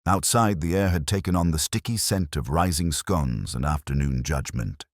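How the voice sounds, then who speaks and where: Very deep, husky male story narrator. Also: normal speed, no echo, studio quality. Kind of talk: scripted narration of a cosy mystery story.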